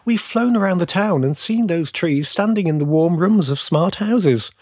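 A man voicing small, eager, knowing sparrows in a storybook, chirping excitably as they tell what they have seen. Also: high-pitched, normal speed, no echo, clean studio sound, voice pushed up. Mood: eager, chirpy.

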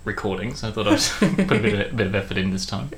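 A man's voice: on the low side, expressive, fast, slight background noise. A middle-aged man co-hosting a podcast in casual banter with a friend, blunt and given to swearing. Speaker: podcast co-host. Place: home study.

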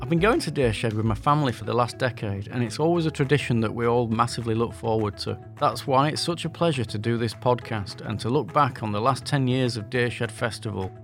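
A man with a Yorkshire accent, hosting a podcast and narrating in a friendly, measured way. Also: medium pitch, soft background music. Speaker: podcast host.

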